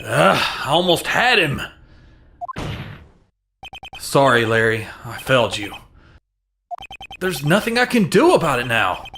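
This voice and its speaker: Man voicing an excitable young rookie lawyer. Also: mid-range voice, measured pace, vocal fry, long pauses, a little hiss or hum, full character voice. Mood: dejected. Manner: earnest, dramatic. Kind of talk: video game fandub acting with internal monologue.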